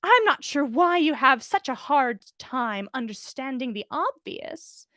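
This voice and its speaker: A woman's voice: high voice, very animated, measured pace, pushed and bright, no echo, clean studio sound, full character voice. A woman voicing a prim, condescending older villainess in a story, with a sugary, haughty manner. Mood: sweetly condescending.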